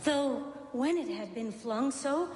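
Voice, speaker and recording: A woman gravely narrating a dark literary tale in a live radio drama. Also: sits high, lively intonation, slow. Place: large hall stage, live performance. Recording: echoey hall with music underneath. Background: live music.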